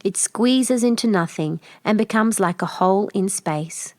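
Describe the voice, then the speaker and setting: A woman's voice: medium pitch, measured pace, darkish tone, dry recording. Woman narrating a children's story, dry sound. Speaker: storybook reader.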